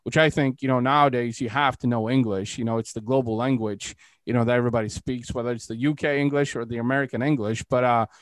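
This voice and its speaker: A man's voice: medium pitch, fairly even intonation, quick pace, dry recording. A man from Eastern Europe hosting a podcast interview in a casual, rambling way, sprinkling his talk with filler phrases. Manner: rambling, frequent "you know". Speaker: podcast host, entrepreneur.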